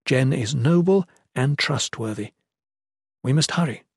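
A male reader takes on a gentle noblewoman of a fantasy audiobook, tender and sorrowful. Her speech is formal and a little hesitant, pitched well up, in a dry recording. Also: expressive, a little slow, creaky, slightly rough, dry recording, exaggerated voice. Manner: formal, soft-hearted, hesitant.

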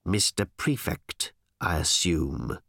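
A male narrator plays a smug corporate boss from a comic sci-fi audiobook. He is cold and condescending, and he draws out his clipped phrases slowly and deliberately in a close, dry recording. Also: low voice, big pitch swings, creaky, a bit bright, husky, dry recording, voice pushed down, full character voice. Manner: slow, deliberate, drawling condescension. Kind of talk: comic science fiction audiobook character.

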